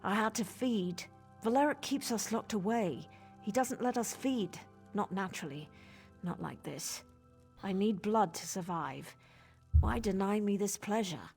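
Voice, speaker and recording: A woman playing a starved young female vampire in a video game, husky and pleading, with dramatic intensity. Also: mid-range voice, unhurried, a little mellow, faint music behind. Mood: anguished, defensive. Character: young female vampire, starved, guilt-ridden and desperate for blood.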